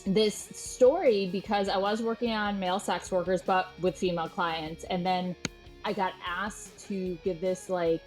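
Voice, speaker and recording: A woman journalist is a guest in an interview. She has a medium-pitched voice and tells her story casually, with chatty phrasing. There is faint music in the recording.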